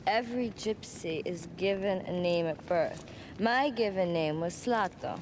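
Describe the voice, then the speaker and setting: Slow-spoken, guarded young wanderer played by a woman. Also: lightly acted. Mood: proud. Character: lonely, teasing, proud young Romani woman from Wales. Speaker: voice actor in a game cutscene. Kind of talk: dramatic game dialogue. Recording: clear background noise or rumble.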